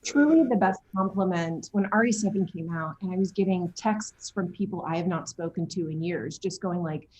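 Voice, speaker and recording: A woman chatting casually as an interview guest, talking in an effusive, animated storytelling way. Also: on the low side, moderate pace, hardly any pauses, rough recording. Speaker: interview guest, game voice and motion capture actor.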